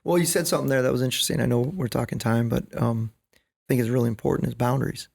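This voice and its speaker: A middle-aged man with a noticeably creaky voice and a medium pitch speaks as a podcast guest. He is earnest and emphatic, explaining things through everyday anecdotes in a conversational way. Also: fairly even intonation, racing, darkish tone. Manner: earnest, emphatic, anecdotal, often checks in with "right?" and "you know". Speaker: podcast guest, basketball coach.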